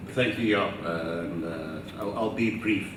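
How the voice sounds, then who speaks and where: A man from the audience asking a formal, precise question after a halting start. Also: sits low. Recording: echoey hall, distant mic, noisy.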